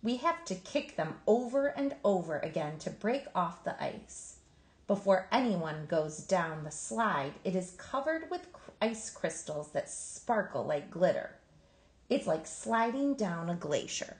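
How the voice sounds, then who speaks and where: A woman reading a children's picture book aloud in an expressive, emphatic storytelling manner. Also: on the low side, measured pace, echoey room. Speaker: storybook reader.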